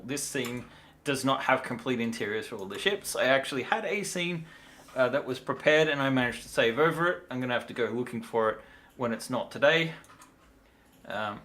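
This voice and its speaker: A man's voice: mid-range voice, lively intonation, moderate pace, pushed and bright. A tired man talking casually and in a rambling way as he hosts a livestream demo. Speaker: livestream host, miniature designer.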